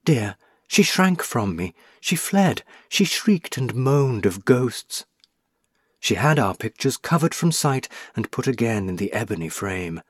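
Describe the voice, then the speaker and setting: A man's voice: medium pitch, big pitch swings, measured pace, breathy edge, no echo, studio quality. A man reading an audiobook, voicing the ghost of a young woman who was burned as a witch, tender and pleading. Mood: anguished. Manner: pleading, tender, rising to anguish.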